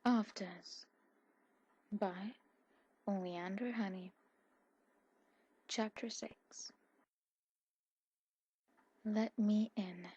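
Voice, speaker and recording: A woman with a husky voice reading a scripted story aloud in an ASMR style. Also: mid-range voice, steady tone, extremely slow, pauses often, a little mellow, no room sound, lo-fi sound. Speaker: fan fiction audiobook narrator.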